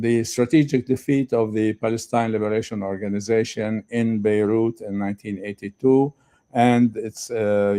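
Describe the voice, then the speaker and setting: Older man, halting speech, dark smooth voice. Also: lowish voice. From Lebanon. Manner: halting, many fillers. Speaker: guest speaker, retired professor. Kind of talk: conference interview.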